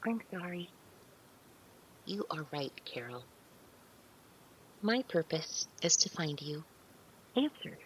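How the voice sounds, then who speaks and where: A meek, literal-minded female spirit servant acted by a woman speaks slowly in formal, stilted phrases. The fairly low voice rises and dips widely, with small hesitant hums, in an audio drama with faint hiss. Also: big pitch swings, rough recording. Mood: deferential. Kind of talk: scripted audio drama roleplay.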